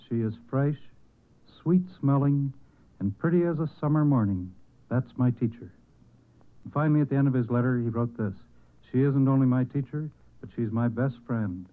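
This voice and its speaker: An American man speaks as a learned guest on an old radio show. He reads aloud formally and earnestly at a medium pitch. The recording is muffled and narrow, like a telephone line. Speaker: professor and scholarship committee chairman, guest. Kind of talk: reading letters aloud on old-time radio.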